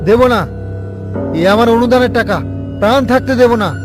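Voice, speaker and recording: Man playing a high-voiced anxious, stubborn traveller. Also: moderate pace, over music. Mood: nervous, defiant. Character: stubborn, anxious male traveller carrying money. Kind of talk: cartoon ghost-story voice acting.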